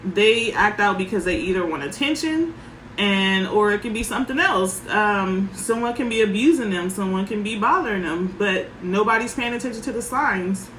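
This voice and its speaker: A woman parenting coach with a soft voice, giving frank advice in short, emphatic sentences. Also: medium pitch, animated, normal speed, echoey room, a little hiss or hum, poor audio. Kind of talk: casual advice talk.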